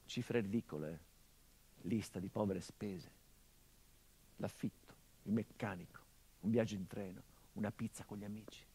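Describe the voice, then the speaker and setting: A middle-aged actor playing a grieving son in a theatrical monologue, with bitter irony that swings from loud outbursts to hushed low tones. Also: male voice, moderate pace, vocal fry, long pauses, slightly rough, low-quality recording. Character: grieving son of a murdered man, bitter, haunted. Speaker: stage actor.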